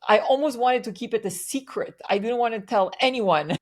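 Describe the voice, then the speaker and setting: A woman hosting a podcast, asking long, curious questions in a warm, conversational way. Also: a little high, moderate pace. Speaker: podcast host. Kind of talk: conversational interview.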